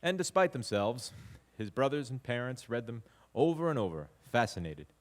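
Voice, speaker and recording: Man as an expressive, wry family storyteller. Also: mid-range voice, moderate pace, natural voice. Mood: fond, wry. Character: reflective grandson fondly telling family history. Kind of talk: dramatic monologue narration before live audience.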